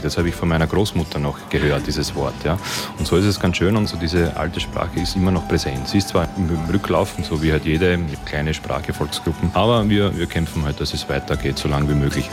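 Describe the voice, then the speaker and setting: A man from Burgenland explaining words in a lively way, tagging his sentences with little affirmations. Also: a bass voice, a little fast, music in the background. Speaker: interviewee. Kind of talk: conversational interview.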